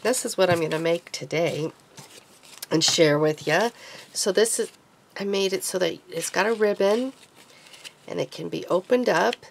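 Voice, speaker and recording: A woman hosting a casual craft tutorial at home, talking in a chatty, rambling way while she works, her voice deepened from being sick this week. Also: gravelly. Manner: chatty, rambling, self-correcting. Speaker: craft vlogger.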